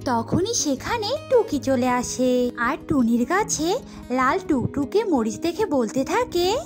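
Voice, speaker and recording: A woman's voice: high-pitched, sing-song, slow. A woman narrating a scripted children's cartoon story, with music behind her.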